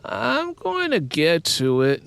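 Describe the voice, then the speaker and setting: A man voicing a sleepy, wheedling teenage daughter in an audiobook, with a drawn-out, pleading manner. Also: a little high, huge pitch swings, creaky, fairly bright tone, clean tone, no echo, voice pushed up, exaggerated voice. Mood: sleepy, defensive.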